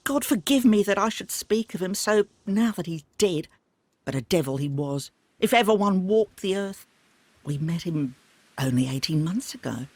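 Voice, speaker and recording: A woman's voice: medium pitch, darkish tone, slightly rough, no room sound. An actress playing a loyal, forthright lady's maid who speaks bitterly in a video game. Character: loyal, indignant lady's maid, protective of her mistress.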